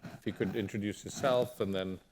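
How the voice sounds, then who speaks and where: A man moderating a conference panel, giving brisk, short prompts to speakers. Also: on the low side, fairly even intonation, background noise. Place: conference hall.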